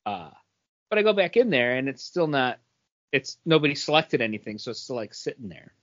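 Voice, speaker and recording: A man's voice: medium pitch, sing-song, brisk, fairly bright tone, highs cut off. A man co-hosting a podcast, telling everyday anecdotes in a casual, humorous way. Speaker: podcast co-host.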